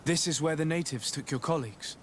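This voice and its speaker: An actor playing a courteous noble envoy in a fantasy game, speaking in a measured, formal way. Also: male voice, on the high side, noisy background, lightly acted. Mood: calm, inquiring. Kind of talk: scripted game cutscene acting.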